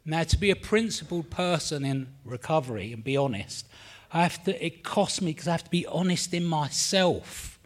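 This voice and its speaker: London guy, middle-aged, nervous rambly share at a meeting, bit high voice. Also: expressive, normal speed, hardly any pauses. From England. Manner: nervous, rambling, candid with swearing. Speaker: recovery meeting speaker. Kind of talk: personal share at a support meeting. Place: meeting room.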